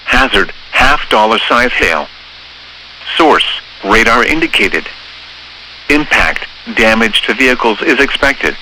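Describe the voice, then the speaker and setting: A synthesized male voice reading scripted emergency weather warnings in a formal, flat manner as a radio announcer. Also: medium pitch, slow. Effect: synthesized text-to-speech voice. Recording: noisy weather radio broadcast.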